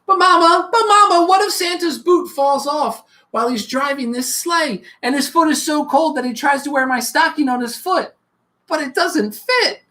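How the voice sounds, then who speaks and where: A storybook reader voicing an excitable, worried little child who pleads with questions. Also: high voice, voice pushed up. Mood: eager, worried. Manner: pleading, rapid what-if questions.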